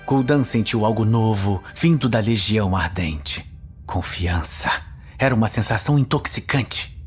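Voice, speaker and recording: A man with a Brazilian accent narrating a fantasy audio series in a solemn, suspenseful, measured style. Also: lowish voice, animated. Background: dramatic music.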